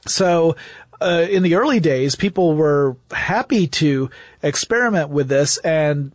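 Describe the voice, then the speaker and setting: Higher-pitched male podcast host explaining things. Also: expressive, measured pace, no room sound, clean studio sound. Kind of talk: conversational explanatory talk.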